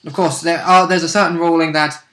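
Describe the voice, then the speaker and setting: Man with a bright, echoey voice. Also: a little high, flat delivery, fairly quick. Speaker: co-host discussing tabletop game rules. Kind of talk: casual chat, chiming in with clarifications. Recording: roomy, echoing room sound.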